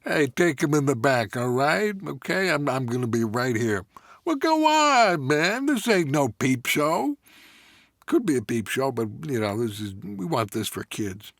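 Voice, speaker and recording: Gravelly swaggering bully, slow casual drawl, kinda menacing, comedy read, dry sound. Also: male voice, medium pitch, sing-song, a bit bright, dry recording. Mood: bossy, loose. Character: swaggering laid-back bully, drawling older movie-star type. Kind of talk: comic table read celebrity impression.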